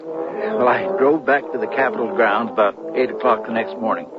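A man's voice: medium pitch, expressive, moderate pace, lightly acted. An actor playing a flustered, earnest CB radio buff who stammers and insists his tall tale is true, in 1970s radio drama style. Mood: earnest insistence. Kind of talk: 1970s radio drama acting, recounting a story. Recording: dull 1970s radio broadcast, music behind.

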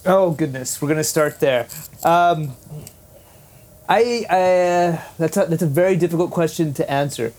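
A man's voice: fairly high pitch, moderate pace, a little mellow. A man from Texas, a podcast guest, answering with dry humor and many hesitant fillers. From Texas, USA. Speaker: podcast guest, teacher abroad. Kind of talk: casual interview answers.

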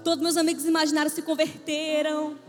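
A woman's voice: high-pitched, completely flat delivery, fairly quick, darkish tone, big-room sound, soft background music. A woman preacher telling a humorous personal testimony to a church audience, acting out the voices she quotes. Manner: playful storytelling, acts out quoted voices.

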